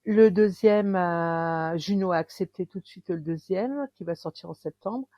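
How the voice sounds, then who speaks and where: A woman in her fifties from northern France, a romance author chatting in an interview, pausing often and restarting her sentences. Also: on the low side, no room sound, highs cut off. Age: 50s. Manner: hesitant, many pauses and restarts. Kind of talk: casual interview chat.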